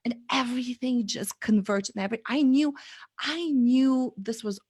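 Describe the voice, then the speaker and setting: A woman's voice: on the high side, moderate pace, a little mellow, breathy edge, dry recording. A woman, telling an earnest story as an interview guest over a video call, with many fillers. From Bulgaria. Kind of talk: casual interview chat.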